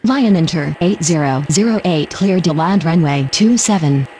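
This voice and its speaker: Lowish-voiced woman acting as a radio controller. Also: lively intonation, normal speed, darkish tone, a little hiss or hum, natural voice. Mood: businesslike. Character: businesslike female pilot and controller on radio. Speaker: pilot and air traffic controller radio voice. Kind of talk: scripted aviation radio phraseology.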